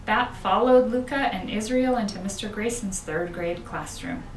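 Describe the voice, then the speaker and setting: A woman reading a children's book aloud with expressive storytelling, as a school principal would to pupils. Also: medium pitch, normal speed. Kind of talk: children's audiobook narration. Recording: roomy, distant, noisy home-style recording.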